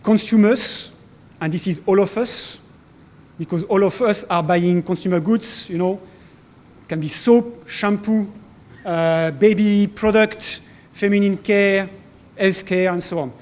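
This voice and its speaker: A man's voice: high-pitched, measured pace, darkish tone. French-accented man giving a business talk. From France. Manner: hesitant, many fillers and restarts. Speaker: corporate speaker. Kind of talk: conference presentation. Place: conference hall.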